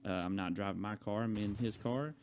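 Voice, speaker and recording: A man's voice: fairly low pitch, a little fast, a little mellow, a little hiss or hum. A young adult man chatting casually to viewers as a vlogger, telling a rambling personal story in a conversational way. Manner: conversational, acts out quoted remarks.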